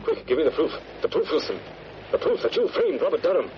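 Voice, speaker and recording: An actor playing a self-assured, commanding crime-fighting hero in an old radio drama. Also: male voice, a little high, sing-song, fast. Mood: urgent. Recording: noisy, muffled vintage radio recording.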